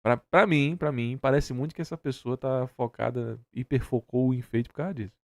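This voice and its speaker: A man's voice: medium pitch, fairly even intonation, a little fast, no room sound. A man with a Brazilian accent reacting in a casual, heated debate, blunt and opinionated, repeating himself for emphasis in slangy speech. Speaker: reaction streamer.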